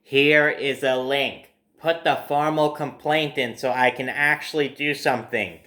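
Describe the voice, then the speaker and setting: A stiff, by-the-book male landlord who insists on formal procedure, as a young man puts him on. His voice stays close to the storyteller's own, flat and businesslike. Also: medium pitch, measured pace. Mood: stern. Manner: rigid, by-the-book. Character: stiff, overly serious male building owner.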